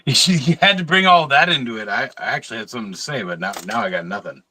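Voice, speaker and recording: Bright, animated man in casual conversation. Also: mid-range voice. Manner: halting, repeats phrases for emphasis. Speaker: podcast panel participant. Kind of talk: casual podcast chat.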